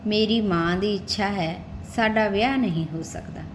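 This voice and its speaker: A woman's voice: medium pitch, normal speed, close to an everyday voice. A woman voicing a reflective, troubled young village man in a narrated story. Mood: resolute. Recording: clearly noisy recording with background ambience.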